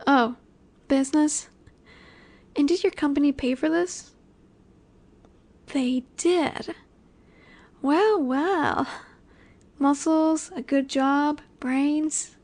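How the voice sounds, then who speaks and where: A woman acting a high-pitched, teasing alpha she-wolf. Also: darkish tone. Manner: teasing, sultry, unhurried with drawn-out pauses. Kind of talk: roleplay audio acting addressed to the listener. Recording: bar chatter in the background.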